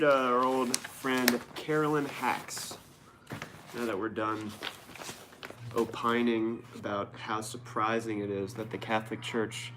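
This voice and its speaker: A man's voice: lowish voice, background noise. A man reading the news aloud and rambling casually about it as a show host, with sarcastic, feigned astonishment.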